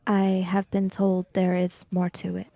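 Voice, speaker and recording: Female player as reserved samurai emissary for tabletop game, soft dark tone, dry close sound, precise delivery. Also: on the low side, monotone, vocal fry, dry recording. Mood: reserved, measured. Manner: formal, deliberate, precise. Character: reserved, formal samurai emissary, measured and guarded. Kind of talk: in-character tabletop roleplay.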